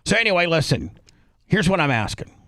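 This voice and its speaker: An American man hosting a radio show, delivering a long, unscripted sponsor pitch with repetition and emphatic lists. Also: very animated, brisk, bright, forceful tone. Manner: rambling, repetitive, emphatic listing.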